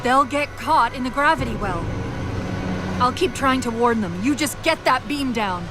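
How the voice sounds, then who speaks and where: A woman playing a sharp, protective military AI companion in a video game, crisp and urgent. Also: on the high side, expressive, moderate pace, noisy background, lightly acted. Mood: alert, analytical. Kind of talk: video game cutscene acting.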